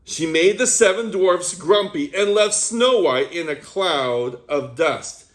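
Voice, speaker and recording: A man hosting a children's read-aloud, reading a picture book aloud as an animated storyteller who chats to young listeners between pages. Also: fairly high pitch, slow pace.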